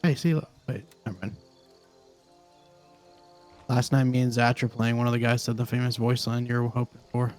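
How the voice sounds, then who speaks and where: A hoarse man who is losing his voice, chatting with teammates during a shooter game, halting and restarting his sentences, over a headset mic. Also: mid-range voice, fairly even intonation, darkish tone, soft background music. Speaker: gaming teammate. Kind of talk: casual gaming chat.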